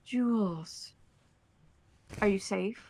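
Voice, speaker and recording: Woman playing a reserved, concerned man, slightly creaky. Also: mid-range voice, drawn-out words, mellow. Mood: concerned, relieved. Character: concerned, reserved male partner on a phone call.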